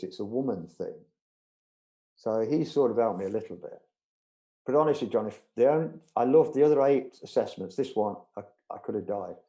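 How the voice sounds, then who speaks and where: A man's voice: medium pitch, warm tone, rough recording. A middle-aged man, telling anecdotes in a casual interview, chatty and full of restarts. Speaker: budgerigar show judge, interview guest.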